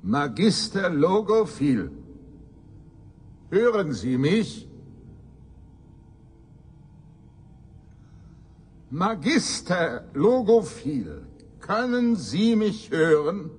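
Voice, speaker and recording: An actor in a German radio drama playing an eloquent, pompous professor with formal, theatrical delivery. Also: male voice, high-pitched, big pitch swings, takes it slowly, husky, exaggerated voice. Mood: calling, eager. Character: eloquent, pompous male professor of extraterrestrial research.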